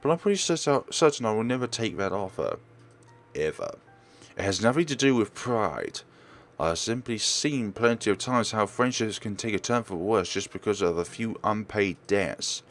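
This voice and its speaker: A man with a low voice reads a visual novel aloud as a let's player. His intonation moves around a lot, and he trips on words and corrects himself. Music plays behind him. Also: expressive, normal speed, a bit bright.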